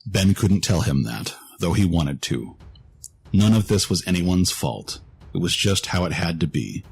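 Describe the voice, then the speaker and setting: A man's voice: deep voice, a little mellow, slightly rough. A man narrating a scripted fantasy story in a dramatic storytelling style.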